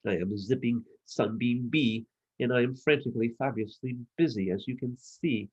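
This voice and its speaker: A man's voice: medium pitch, a little mellow, slightly rough, lo-fi sound, close to an everyday voice. Man voicing a frantically busy storybook sunbeam bee. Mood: frantic. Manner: rapid, buzzy alliterative chatter.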